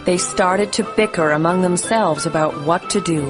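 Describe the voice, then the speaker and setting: Lower-pitched woman telling a bedtime story. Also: moderate pace. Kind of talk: scripted bedtime story narration for children. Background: music.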